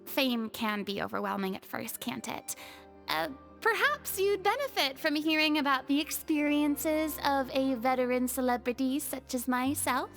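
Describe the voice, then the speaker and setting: A woman's voice: sits high, normal speed, a bit bright. A woman playing a playful, self-important young celebrity performer in a video game, with a dramatic, theatrical manner. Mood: grand, playful. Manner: dramatic, flamboyant, with airy asides. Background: background music.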